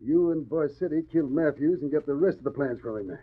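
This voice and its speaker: An actor playing an indignant, emphatic police inspector in a 1940s radio drama, accusing and blustering. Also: male voice, on the high side, quick pace, breathy edge. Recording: muffled vintage radio recording.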